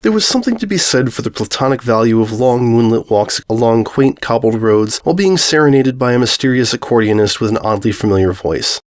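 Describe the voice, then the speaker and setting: Male storyteller, mid-pitched voice, for scripted fiction narration. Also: lively intonation, fairly quick, few pauses.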